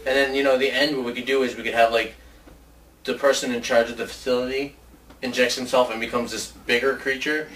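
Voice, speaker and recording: A man's voice: medium pitch, flat delivery, a little fast, a bit bright. A man co-hosting a casual video chat in an informal, loose way, often repeating his phrasing. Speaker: co-host of a video show about movie ideas. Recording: distant mic with faint background noise.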